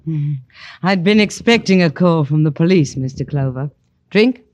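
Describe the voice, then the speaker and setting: An actress playing a haughty, morbidly amused society hostess, arch and coy, in vintage radio crime drama style. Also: female voice, deep voice, expressive, normal speed, a little mellow, lo-fi sound. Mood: coolly arch. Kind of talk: 1950s radio drama acting.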